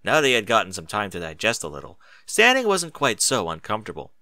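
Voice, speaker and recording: A man reading scripted story narration in an expressive manner, as an audiobook narrator. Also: on the low side, fast, no echo. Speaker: audiobook narrator of fan fiction.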